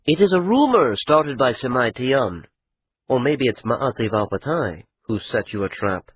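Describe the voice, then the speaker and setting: A man voicing Adra, a proud, resentful young nobleman who speaks in a slow, bitter drawl. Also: medium pitch, expressive, a little hiss or hum, natural voice. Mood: dismissive. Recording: dull lo-fi recording.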